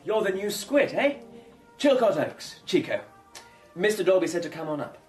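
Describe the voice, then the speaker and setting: Posh british office chap, airy and snobby, swoopy voice, echoey and off mic, music behind. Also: male voice, sits high, sing-song, moderate pace, a little mellow. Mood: breezy. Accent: upper-class British. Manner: chummy, affected, airy. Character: breezy, snobbish upper-class English office assistant. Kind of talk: radio drama acting. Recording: roomy, distant, music behind.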